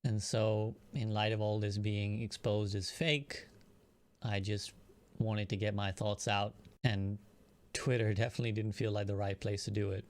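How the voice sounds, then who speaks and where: Man, lower pitch, dry sound, measured prepared statement, earnest, articulate, formal wording. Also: level tone, no echo. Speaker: online streamer.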